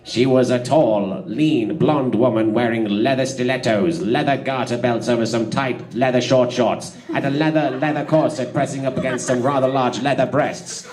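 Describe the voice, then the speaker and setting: A man's voice: mid-range voice, moderate pace, few pauses, darkish tone, a little hiss or hum. A man narrating comic fan fiction in a theatrical, giggly way, prone to breaking up and tossing in asides.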